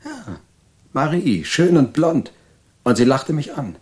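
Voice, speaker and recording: A man's voice: fairly high pitch, very animated, takes it slowly, darkish tone. An actor playing a troubled male asylum patient recounting his strange past in an audio drama monologue. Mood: shaken, entranced.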